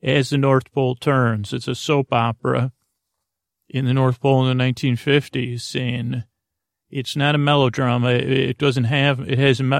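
A man hosting a podcast in a rambling bedtime monologue, halting and often interrupting himself as he meanders. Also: medium pitch, vocal fry, no room sound, clean studio sound. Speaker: podcast host.

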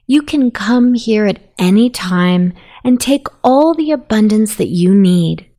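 A woman narrating a guided sleep meditation in a soothing, softly spoken, unhurried manner. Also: mid-range voice, animated.

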